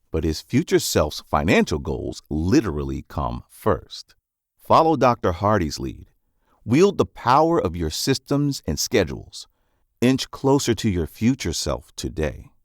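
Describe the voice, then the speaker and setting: A man reading scripted nonfiction narration in a measured, instructive manner, as for an audiobook summary. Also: lowish voice, no echo, studio quality.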